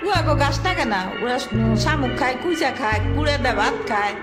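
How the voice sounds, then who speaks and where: A woman's voice: high voice, animated, fairly quick, loud music behind the voice. A woman voicing a practical, blunt village elder in a cartoon, with a rural northern Bangladeshi accent. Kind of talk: cartoon voice acting.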